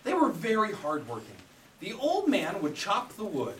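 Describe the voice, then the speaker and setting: Animated storyteller man in an echoey room. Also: sits high, normal speed. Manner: animated, prompts children to repeat lines. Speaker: puppet theater storyteller and director. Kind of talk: live storytelling to children. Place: echoey room at a live children's show. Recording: echoey room, distant mic, faint noise.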